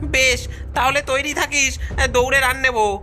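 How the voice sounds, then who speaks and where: A woman's voice: high voice, steady tone, a little slow, over music. A woman voicing an eager cartoon child cricketer with an exaggerated delivery.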